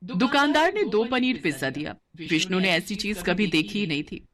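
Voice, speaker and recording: A woman storyteller delivers scripted narration with a very animated, varied pitch. Her voice sits at a middling height for a woman, and crowd noise is heard behind her. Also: normal speed.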